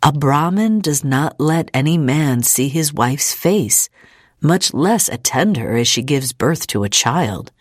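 A woman voicing a calm, resigned middle-aged father, measured and soothing, in an audiobook. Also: low-pitched, dry recording, clean studio sound, heavily acted. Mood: weary. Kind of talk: audiobook character acting.

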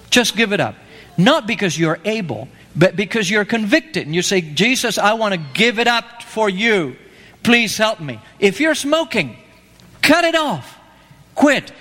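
A man's voice: high-pitched, a bit bright. A man from Argentina preaching an evangelistic sermon, emphatic and pleading, repeating his key phrases. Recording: long reverb of a hall.

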